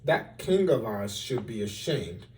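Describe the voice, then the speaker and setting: A man's voice: medium pitch, sing-song, a little slow, a little mellow, recorded from a distance, rough recording. Man reading as a drowsy, indignant trumpeter, off-mic. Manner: yawning, then indignant. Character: drowsy, indignant royal trumpeter roused from sleep.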